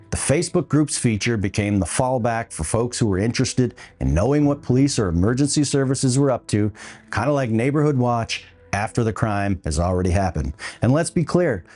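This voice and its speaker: A man's voice: medium pitch, a little mellow. A man hosting a podcast, delivering scripted opinion commentary with a wry, dry humour. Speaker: podcast host.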